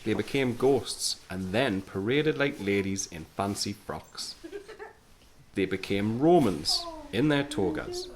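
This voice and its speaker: A man's voice: fairly low pitch, expressive, slow pace, rough recording. An actor playing a wistful young man home from the war, narrating an audio play as a storyteller. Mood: fond. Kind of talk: scripted first-person narration in an audio play.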